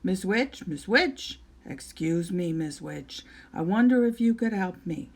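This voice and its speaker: A woman's voice: fairly low pitch, lively intonation, measured pace, pitched below the performer's own voice. A woman voicing a young fairytale prince who is earnest, polite and insistent, and easily flustered.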